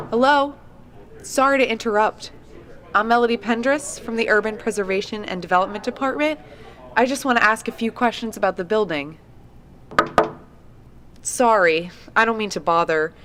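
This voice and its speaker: An actress playing a diligent, polite young researcher, speaking matter-of-factly into a field recorder in an audio drama. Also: female voice, on the high side, moderate pace, pauses often, lightly acted. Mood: apologetic, puzzled. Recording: noisy handheld field tape with clicks.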